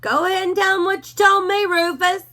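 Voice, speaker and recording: A woman's voice: extremely high pitch, fairly even intonation, fairly quick, gravelly, pushed and bright, voice pushed up, exaggerated voice. A woman voicing a self-important male cowdog who talks in a dry, wry, drawling way.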